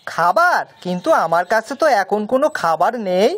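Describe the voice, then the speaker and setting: A woman's voice: medium pitch, sing-song, brisk. A woman voicing a simple, kind-hearted village man in a children's cartoon, earnest and folksy. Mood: kindly.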